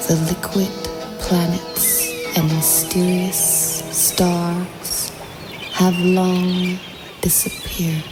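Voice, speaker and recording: A woman reading poems slowly, drawing out one word at a time. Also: fairly low pitch, level tone. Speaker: poetry reader. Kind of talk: slow poetry reading over a soundscape. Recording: voice over loud music bed.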